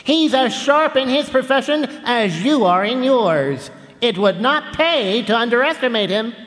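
An actor playing a composed, formal gentleman in a live radio drama, with measured wording. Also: extremely high pitch, animated, full character voice. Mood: cautioning. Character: composed, formal associate of a detective. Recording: live concert hall with reverb.

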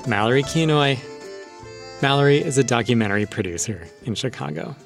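A man's voice: medium pitch, lively intonation, brisk, vocal fry, over music. A man hosting a public radio magazine show, moving between presenting and conversational interviewing.

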